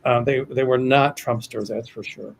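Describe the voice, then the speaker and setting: Gravelly older man, rambling psychic host. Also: medium pitch, fairly even intonation, moderate pace, rough recording. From United States. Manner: rambling and hesitant, with frequent restarts. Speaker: psychic host of a livestream show. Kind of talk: casual livestream chat, reading viewer questions.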